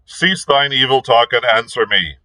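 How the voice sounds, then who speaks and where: A man's voice: medium pitch, pushed and bright, voice pushed up, low-quality recording. A man voicing a stern young king who speaks in grave, formal old-fashioned language. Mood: commanding. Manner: solemn, measured archaic speech.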